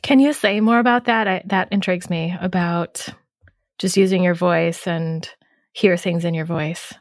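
Softly affirming woman podcast host. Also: lowish voice, steady tone, normal speed, no echo, studio quality. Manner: warmly affirming, soft back-channel murmurs. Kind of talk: relaxed spiritual conversation.

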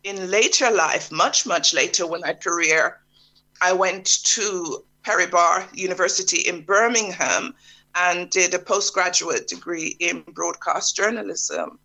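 A middle-aged woman from Jamaica, recalling her life in a relaxed interview with restarts and soft laughs. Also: fairly low pitch, measured pace, poor audio. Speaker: interview guest.

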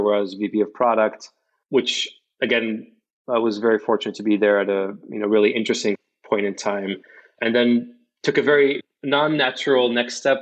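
A man in his forties from Morocco, a company founder telling his story as an interview guest in a measured, reflective way with fillers and restarts. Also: on the low side, dull audio. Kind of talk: casual interview.

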